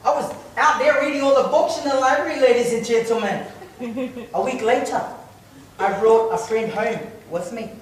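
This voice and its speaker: A man telling a funny personal story in a humorous speech contest, pausing for laughs. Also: very high-pitched. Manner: telling a comic story to an audience with pauses for laughs. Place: large hall, public speaking contest. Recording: echoey hall from far off, noisy. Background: audience laughter.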